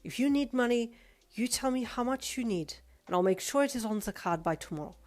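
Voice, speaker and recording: A woman voicing a kind middle-aged father on the phone, with a warm voice and an odd mixed European-sounding accent. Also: a little high, brisk, pitched above the performer's own voice, lightly acted. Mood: generous. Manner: calm, generous.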